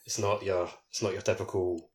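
A man's voice: sits low, flat delivery, brisk. A man co-hosting a podcast, talking casually about games in a rambling way peppered with "like". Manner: rambling, heavy use of "like". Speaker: podcast co-host. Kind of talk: casual gaming podcast chat.

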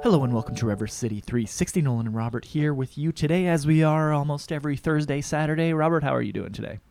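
A man's voice: a little high, animated, fast talker, gravelly, darkish tone, soft background music. A man co-hosting a radio show in casual chat, a bit nasal from a chest cold, joking about himself. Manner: jokey, self-deprecating. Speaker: radio co-host. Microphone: studio microphone.

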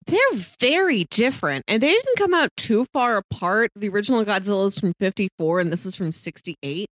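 A woman's voice: mid-range voice, lively intonation, a little fast, dry recording. Casual woman podcaster with a dry, close sound. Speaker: podcast co-host. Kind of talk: casual movie discussion.

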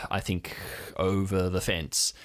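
A man playing a cautious, practical policeman planning a rescue in an improvised audio drama, halting and matter-of-fact. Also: low voice, level tone.

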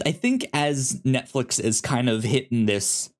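A man co-hosting a casual movie review discussion, speaking in a halting way with many repeated words and restarts. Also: mid-range voice, expressive. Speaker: podcast co-host reviewing movies.

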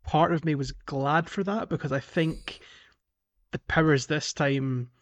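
A man's voice: a little high, steady tone, measured pace. A man co-hosting a casual gaming podcast, explaining his thoughts in a reflective, hesitant way. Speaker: podcast co-host.

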